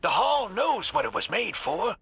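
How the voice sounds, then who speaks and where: Wry game adventurer; lightly high, lively pitch, colloquial delivery over loud music. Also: male voice, measured pace, gravelly, breathy edge, exaggerated voice. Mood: urgent, musing. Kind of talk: dramatic video game acting.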